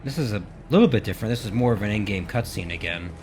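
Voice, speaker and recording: A man's voice: lowish voice, expressive, fast talker, a little mellow, a little hiss or hum. A man giving casual reaction commentary on game cutscenes, speculating and thinking aloud. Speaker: gaming video reactor. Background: game cutscene music and dialogue.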